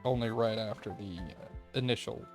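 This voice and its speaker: A man's voice: fairly low pitch, normal speed, soft background music. A man hosting a game review video with casual, tentative, unscripted commentary, thinking aloud as he goes.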